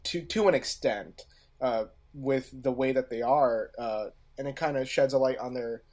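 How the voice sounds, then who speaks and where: A man co-hosting a casual podcast discussion, speaking haltingly and often pausing in the middle of a phrase. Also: medium pitch, darkish tone. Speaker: podcast co-host.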